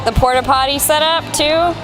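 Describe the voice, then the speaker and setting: A woman's voice: sits high, fairly even intonation, moderate pace. Blunt joking woman over music. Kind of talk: casual vlog recap.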